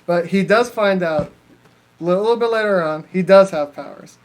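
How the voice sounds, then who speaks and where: High-voiced guy, crude and casual. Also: brisk. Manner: crude, slangy, heavy swearing. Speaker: podcast co-host. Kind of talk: casual profane chat pitching story ideas.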